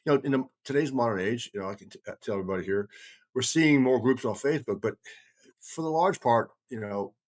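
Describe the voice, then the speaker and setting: A man's voice: medium pitch, quick pace. A middle-aged man from the United States talking casually in a rambling, emphatic way, repeating phrases for stress. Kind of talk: casual conversational talk.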